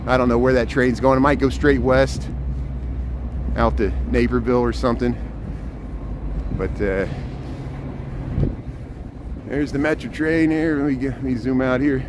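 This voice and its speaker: A man with a loud, carrying voice, chatting his way along city streets. He points things out as he walks, relaxed and unscripted, at a mid pitch. Traffic noise sits clearly under his voice. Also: a little slow, long pauses. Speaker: live streamer; urban planner. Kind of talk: walking tour live stream.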